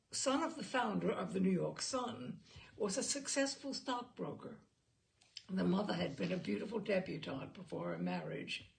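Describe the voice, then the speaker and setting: Expressive woman storyteller with a creaky voice. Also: medium pitch, slight background noise, low-quality recording. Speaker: storyteller reading aloud to an audience. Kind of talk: literary storytelling with informal introduction.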